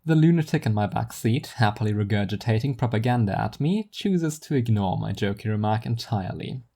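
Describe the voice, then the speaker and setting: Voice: mid-range voice, expressive, a little fast, mellow. A storyteller reading scripted first-person fiction in a wry, literary manner. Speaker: narrator and storyteller.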